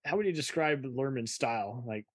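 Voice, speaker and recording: A man's voice: medium pitch, animated, normal speed, husky, no echo. Man interviewing casually, close dry sound. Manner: rambling, opinionated, film-buff commentary.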